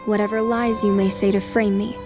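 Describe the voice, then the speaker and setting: A woman voicing a poised, self-assured young noblewoman in an anime fan dub, formal, calm and resolute. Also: medium pitch, brisk, over music, close to an everyday voice. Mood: calm resolve.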